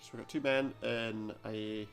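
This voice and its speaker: A man's voice: fairly low pitch, steady tone, unhurried, creaky, soft background music. A man giving casual let's play commentary on a game, with dry joking asides. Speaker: gaming video host.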